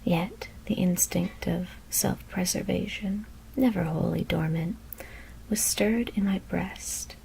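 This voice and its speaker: A woman whispering a gothic horror story as ASMR narration, hushed and deliberate, with careful pauses. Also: on the low side, creaky, a little hiss or hum, rough recording.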